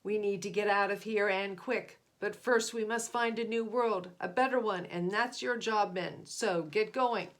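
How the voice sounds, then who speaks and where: A woman's voice: medium pitch, steady tone, a little slow, few pauses, close to an everyday voice. A woman voicing a pompous, commanding male chief of aliens who blusters and bellows, in a children's storybook reading. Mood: brisk, commanding.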